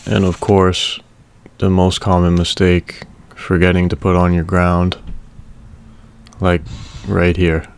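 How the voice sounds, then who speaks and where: A man casually narrating a welding repair video in a relaxed, matter-of-fact way. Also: low-pitched, slow pace, long pauses, smooth.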